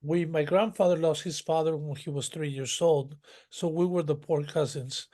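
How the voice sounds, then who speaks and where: A man speaks as an interview guest. His voice sits fairly high for a man. He winds through his memories in long, detailed stretches, doubling back and restarting his sentences as he goes. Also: steady tone, moderate pace, mellow. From northern Mexico. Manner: rambling, detailed storytelling with many restarts. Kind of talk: conversational interview.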